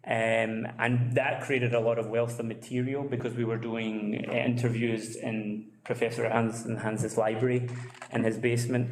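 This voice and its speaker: A man at a book launch walks through how his book began, pausing often with fillers. His tone stays flat and level, and the sound rings around a large, echoey room on a livestream. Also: mid-range voice. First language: English. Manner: hesitant, many fillers. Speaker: book author, speaker at launch. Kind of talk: presentation talk.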